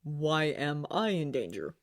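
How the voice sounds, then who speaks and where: A woman's voice: sits low, big pitch swings, a little slow, exaggerated voice. A woman voicing a young schoolboy hero, blunt, snappish and sarcastic, in close to her own voice. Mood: sharp.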